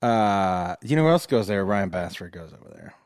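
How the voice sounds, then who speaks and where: A man chatting casually on a podcast, telling comic stories with lively swings of pitch and bursts of laughter. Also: moderate pace, dry recording, studio quality. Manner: animated storyteller, bursts into high-pitched singing and laughter. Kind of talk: casual comedic chat.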